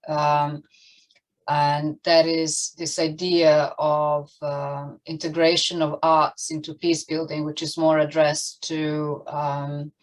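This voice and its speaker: A woman moderating an online panel discussion, with hesitant, halting phrasing as she frames questions. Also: sits low, little pitch movement, poor audio.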